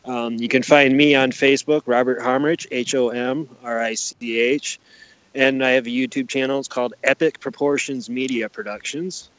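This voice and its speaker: A man with a mid-pitched voice talks casually as an interview guest. He is easygoing and slightly tentative in his phrasing. The audio is dry and somewhat muffled, like a lo-fi call. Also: steady tone, moderate pace, a bit bright, no room sound. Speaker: podcast guest, author.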